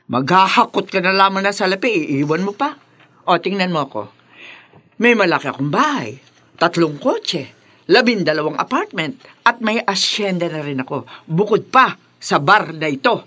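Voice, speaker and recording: A woman's voice: on the low side, lively intonation, moderate pace, a bit bright. A middle-aged Filipino woman playing a brash, greedy, bossy bar owner in a radio drama, scolding and boasting theatrically. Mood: boastful, goading. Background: background music.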